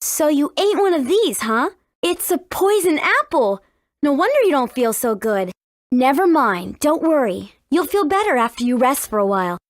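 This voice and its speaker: High-pitched spirited teenage heroine. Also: female voice, very animated, dry recording, clean studio sound. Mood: confident. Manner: brisk, questioning, confident. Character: spirited teenage heroine, impatient and sure of herself. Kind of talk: video game voice acting.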